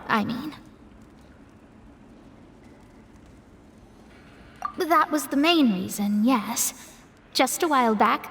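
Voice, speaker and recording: A woman's voice: sits high, fairly bright tone, long reverb tail, a little hiss or hum. A woman voicing a proud, studious young astrologer in a video game, explaining things in a precise, self-important manner. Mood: cautionary. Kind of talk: animated game dialogue acting.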